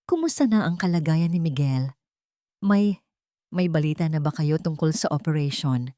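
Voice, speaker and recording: A woman's voice: low voice, expressive, moderate pace, no room sound, studio quality. A woman voicing a scheming stepmother in a dramatic story, sweet on the surface, cold and desperate underneath, with a resolute manner. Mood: anxious. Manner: hushed, resolute.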